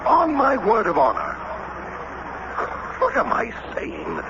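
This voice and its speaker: A man's voice: extremely high pitch, huge pitch swings, slow, exaggerated voice. An actor playing a proud, indignant, aristocratic American statesman with formal, dramatic radio delivery. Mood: wounded, indignant. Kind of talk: 1970s radio drama acting. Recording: muffled, noisy old radio broadcast.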